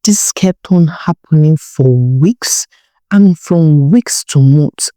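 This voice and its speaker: Nigerian woman, folktale storyteller, deep register, soft dark tone, dramatic narration. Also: no echo, studio quality.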